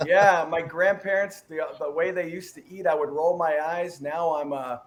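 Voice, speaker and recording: A man's voice: fairly high pitch, steady tone, normal speed, slight background noise. A middle-aged man hosting an interview podcast, chatty and rambling with a joking streak. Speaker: podcast host. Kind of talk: casual interview chat.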